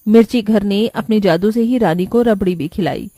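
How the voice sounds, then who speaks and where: A woman's voice: medium pitch, moderate pace, mellow, dry recording. A woman narrating a scripted children's story.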